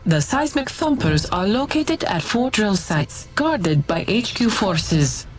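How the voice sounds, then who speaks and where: Businesslike female military adviser, lively pitch. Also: slow pace. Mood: businesslike, urgent. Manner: clipped, businesslike, informative. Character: crisp, efficient female military operations adviser. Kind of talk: game mission briefing and alerts.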